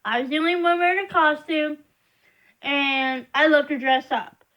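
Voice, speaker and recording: A woman's voice: high-pitched, normal speed, fairly bright tone, rough recording. A young woman vlogging to camera, rambling as she complains in frustration. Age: young adult. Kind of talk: casual venting monologue.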